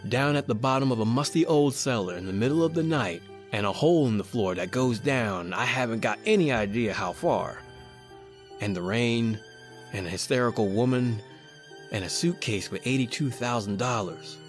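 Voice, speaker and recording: A man's voice: medium pitch, animated, normal speed, soft background music, close to an everyday voice. An actor playing a tough, impatient crook who tells his horror tale in slangy, colloquial old-time radio style. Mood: wry, rationalizing. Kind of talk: old-time radio horror acting with first-person narration.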